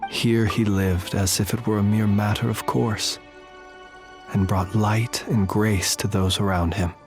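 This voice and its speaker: Melancholy gentleman lantern keeper for a fantasy game: lowish voice, formal and measured, music clearly behind. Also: fairly even intonation. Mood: solemn, reverent. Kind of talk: scripted game drama, eulogy-like.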